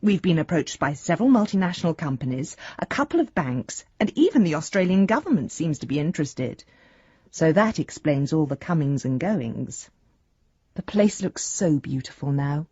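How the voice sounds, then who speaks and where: Affectionate young daughter, earnest, chatty, husky, low-pitched, radio drama letter. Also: female voice, animated, normal speed, warm tone, no echo, muffled recording. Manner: chatty, sincere letter-reading delivery. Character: earnest, affectionate grown daughter writing to her father.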